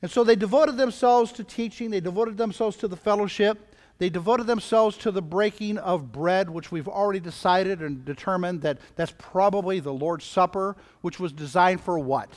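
An older man preaches to his congregation in a high-pitched voice. He drives his points home with repeated phrases and rhetorical questions, and his words ring with the long reverb of a church hall. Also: normal speed. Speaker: pastor preaching. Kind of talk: sermon to a congregation. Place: church hall, indoors at a lectern. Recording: reverberant hall, picked up from a distance.

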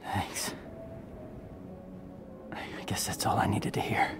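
A man with an American accent playing a brash, guarded young demon hunter in a video game cutscene, gruff and earnest. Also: slow, whispered, over music, close to an everyday voice. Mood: low, earnest. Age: young adult.

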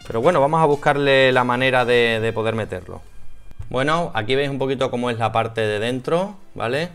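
A man's voice: medium pitch, expressive, fairly quick, fairly bright tone, clear, resonant tone. A man in his thirties with an accent from Spain, talking casually and a bit hesitantly through a hands-on product review. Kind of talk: casual unboxing and installation walkthrough. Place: small home office room.

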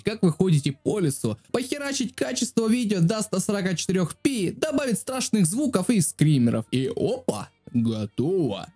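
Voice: mid-range voice, mellow. A video creator narrating a casual joking tutorial in a crude, slangy, self-deprecating way. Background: music.

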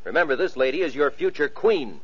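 A gallant young fairy tale prince, courtly and used to giving orders, with a slightly husky voice and a lively, swinging pitch. The old radio broadcast sounds muffled. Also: male voice, brisk, full character voice. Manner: courtly and commanding. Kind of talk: 1940s radio acting.

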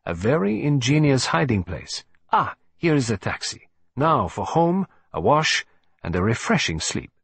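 A man's voice: mid-range voice, sing-song, a little slow, gravelly, slightly rough, no room sound, pitched above the performer's own voice, exaggerated voice. A man voicing a fussy, vain middle-aged male detective who speaks in a precise, theatrical, self-assured way. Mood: composed.

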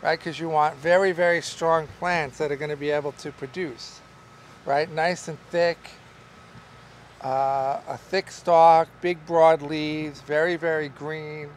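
Middle-aged male farmer, somewhat high-pitched, relaxed and rambling, in a greenhouse with faint background noise. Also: unhurried. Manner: relaxed, rambling, with many fillers and restarts. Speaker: market farmer teaching growing. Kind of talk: casual on-camera farming lesson. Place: large greenhouse among tall tomato plants. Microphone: camera at a distance, wide shot.

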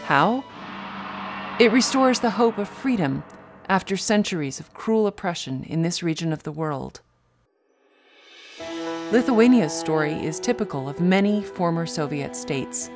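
A woman reading a scripted documentary narration in a measured, earnest way. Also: lowish voice.

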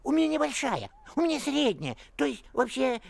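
Creaky, shifty hooded schemer. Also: male voice, extremely high pitch, very animated, brisk, crowd noise, heavily acted. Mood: nervous, evasive. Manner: shifty, stammering, wheedling. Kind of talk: animated film acting.

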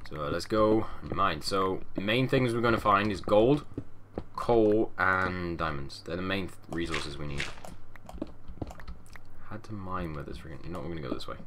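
A man's voice: sits low, moderate pace. A young man hosting a casual game let's-play, rambling and chatty through a headset, a little nasal and sniffly as he gets over a cold. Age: young adult. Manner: rambling, chatty, mumbles asides. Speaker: gaming channel host. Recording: noisy home mic with keyboard clicks.

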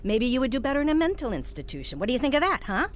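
A cruel, belittling mother in an audio drama. Her voice sits high and swings dramatically up and down. She scolds with cutting sarcasm and a short temper. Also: very animated, racing, a little hiss or hum. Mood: mocking, sarcastic.

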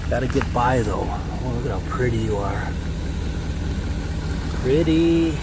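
A man's voice: mid-range voice, animated, a little slow. An American man giving casual, folksy vlog commentary in a conversational way, recorded outdoors on a farm. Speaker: farm vlogger. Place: outdoors on a farm, partly in a tractor. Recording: clear outdoor vehicle and ambient noise.

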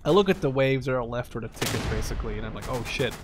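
A man's voice: mid-range voice, racing, noisy background. A man doing casual video game commentary in a rambling, profane, easily distracted way. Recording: gunfire and clicks behind the voice. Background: video game gunfire.

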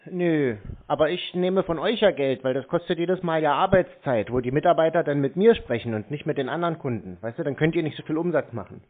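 A man with a slightly high voice talks casually over a narrow, tinny phone line. He plays the innocent prank caller, dogged and never flustered. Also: a little fast, hardly any pauses. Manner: unflappable, dogged, feigned innocence. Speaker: prank caller to scam call centres. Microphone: telephone.

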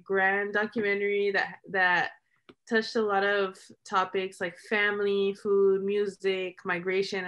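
A woman's voice: mid-range voice, fairly bright tone. Young American woman, informal and discursive. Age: young adult. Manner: informal, discursive, frequent "like", chuckles while talking. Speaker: documentary filmmaker. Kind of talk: casual Q&A talk about their film. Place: small room at home, indoors. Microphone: computer mic on a video call.

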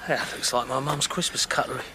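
An actor playing a brisk, cheeky, streetwise English army gunner in a radio play, colloquial and sardonic. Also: male voice, mid-range voice, steady tone, lightly acted. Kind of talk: naturalistic radio play acting. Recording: noisy outdoor ambience behind dialogue.

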